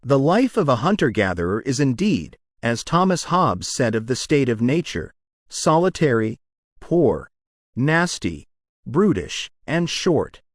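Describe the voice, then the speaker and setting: Man reading practice passages, lively intonation. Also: medium pitch, unhurried, no echo, studio quality. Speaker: narrator of English test practice passages. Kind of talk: scripted read-aloud narration.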